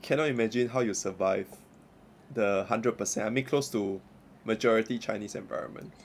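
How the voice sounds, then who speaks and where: A man's voice: on the low side, a little fast, slight background noise. A young adult man with a Singaporean accent, co-hosting a podcast in casual chat, rambling with many fillers and joking around. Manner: rambling, filler-heavy, playful. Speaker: podcast co-host.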